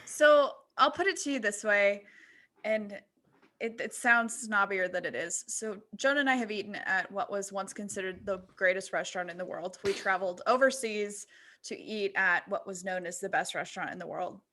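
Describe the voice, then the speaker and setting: Bright-voiced woman in casual podcast chat. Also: mid-range voice, moderate pace. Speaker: podcast co-host.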